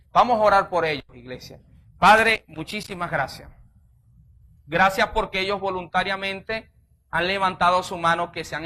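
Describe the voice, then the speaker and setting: A man preaching with a bright, forceful voice that sits a little high. He is a pastor closing a sermon, leading the church through a fervent prayer. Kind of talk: sermon altar call and prayer.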